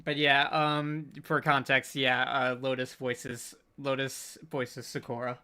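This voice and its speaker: A man hosting an informal online panel, speaking in a halting, scattered and hesitant way. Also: mid-range voice, flat delivery, pushed and bright, clear, resonant tone. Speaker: panel host.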